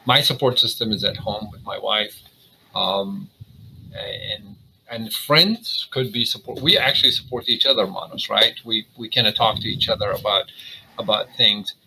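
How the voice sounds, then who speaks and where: Earnest Syrian-born man, bright tense voice. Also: on the low side, gravelly, slight background noise, poor audio. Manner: earnest, halting, many fillers and restarts. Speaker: interventional cardiologist guest. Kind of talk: casual interview talk.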